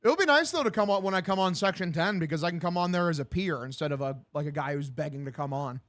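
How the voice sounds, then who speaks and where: A man's voice: fairly high pitch, lively intonation, fast talker, fairly bright tone. A man in his twenties livestreaming casual baseball play-by-play and chat, talking in a slangy, profane, bro-like way. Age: 20s. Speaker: sports streamer calling a baseball game and answering chat.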